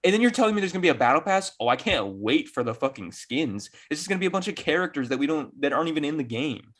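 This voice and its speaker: A man's voice: a little high, very animated, fast talker, a bit bright. A man in casual, profane podcast banter, ranting with sarcasm and exasperation. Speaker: gaming podcast panelist.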